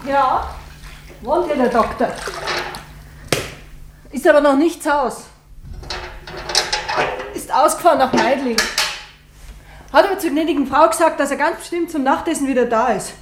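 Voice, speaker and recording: Radio drama maid, played by a woman: chatty, plain-spoken, high-pitched, in a noisy echoing room. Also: normal speed, long pauses, natural voice. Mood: matter-of-fact. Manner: colloquial, clipped sentences. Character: plain-spoken doctor's housemaid, chatty and obliging. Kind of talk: German radio drama acting. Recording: roomy, noisy with clattering dishes.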